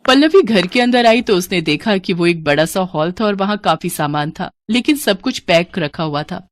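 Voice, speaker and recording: A woman's voice: lowish voice, expressive, moderate pace, no echo. A woman narrating a scripted moral story as a storyteller.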